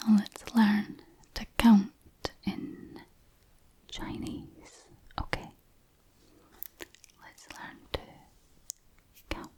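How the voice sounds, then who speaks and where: Whispering woman, slow and hushed. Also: medium pitch, flat delivery, slightly rough. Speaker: language tutor. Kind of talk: whispered ASMR language lesson.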